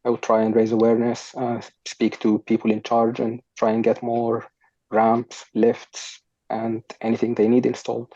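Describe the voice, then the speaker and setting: A young man from Sudan speaks as a podcast guest, soft-spoken and a little hesitant. His voice is gravelly and dark in tone. It comes through a dull, lo-fi video call headset, dry and close. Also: mid-range voice, monotone, normal speed, no room sound. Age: young adult. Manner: soft-spoken, thoughtful, hesitant with many fillers. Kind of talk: podcast interview answers. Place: home room, sitting on a sofa.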